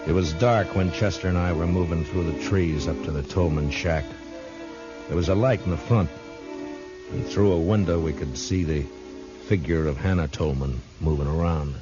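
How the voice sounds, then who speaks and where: A man's voice: low-pitched, normal speed, close to an everyday voice. A man playing a stern, commanding frontier marshal in a 1950s western radio drama, terse and authoritative, with an American accent. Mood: grave narration. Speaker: lead actor and narrator. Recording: muffled 1950s radio broadcast, music underneath.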